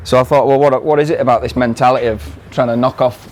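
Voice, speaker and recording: A man from Yorkshire, with a Yorkshire accent softened by New Zealand, giving a jokey, teasing talk to a live audience. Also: mid-range voice, fairly even intonation, quick pace, a little hiss or hum. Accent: Yorkshire English mixed with New Zealand. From Yorkshire, England. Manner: jokey, teasing, laughing through his lines. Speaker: guest speaker.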